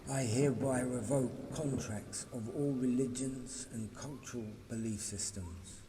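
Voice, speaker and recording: Male reader, fairly deep, ceremonial and deliberate delivery of a spiritual decree, drenched in long echo. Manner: measured, declarative, ceremonial. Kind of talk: solemn scripted spiritual invocation reading. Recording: long reverb, clearly noisy ambient background.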